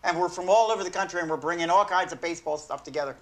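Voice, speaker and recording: An older man with a hoarse, failing voice hosting a sports talk show from a small studio desk, rambling and correcting himself as he goes. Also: fairly high pitch, rapid-fire, a bit bright. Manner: rambling, self-correcting, chuckling. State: hoarse, losing his voice.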